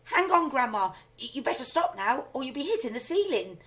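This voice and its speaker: A woman voicing an anxious, excitable young boy who pleads and exclaims. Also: high-pitched, a little fast, pitched above the performer's own voice.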